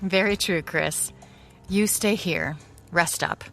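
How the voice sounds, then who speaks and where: An actress playing a capable, caring mother and ally in a pulp audio drama, calm, reassuring and matter-of-fact. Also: on the low side, takes it slowly, faint music behind.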